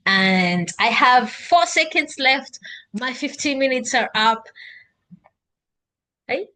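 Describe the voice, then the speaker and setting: A woman's voice: sits high, expressive, takes it slowly, pushed and bright, a little hiss or hum. A young woman with a Kenyan accent talking casually to her laptop at home, thinking aloud in an unscripted, self-encouraging video diary. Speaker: video diarist. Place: indoors at home, by a couch.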